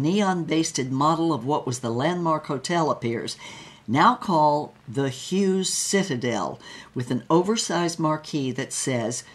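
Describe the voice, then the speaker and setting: Woman playing a low-voiced wry showgirl storyteller. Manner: wry, theatrical, vivid storytelling. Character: wry, brassy female showgirl recounting her own story. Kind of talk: first-person dramatized narration.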